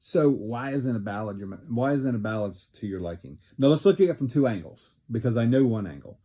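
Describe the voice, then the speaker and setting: A man's voice: medium pitch, animated, fairly quick, warm tone. A man hosting a casual chat with his daughter, teasing and joking with many fillers. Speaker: podcast host and father.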